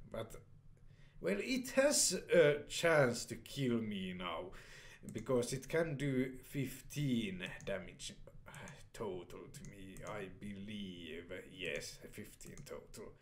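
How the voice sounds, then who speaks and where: Young male let's-play narrator, unhurried and halting, lively pitch, reads game dialogue aloud. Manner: hesitant, many fillers and restarts, reads dialogue in flat voice. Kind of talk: casual gameplay commentary, reading game text aloud.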